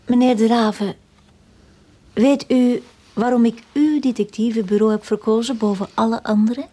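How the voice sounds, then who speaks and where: An actress playing a shaken yet calculating widow in a radio drama, with a Flemish accent and a halting, breathy delivery. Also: female voice, a little high, steady tone, dark tone, close to an everyday voice. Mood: cool, scheming. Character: shaken but coolly calculating widow, a detective's client.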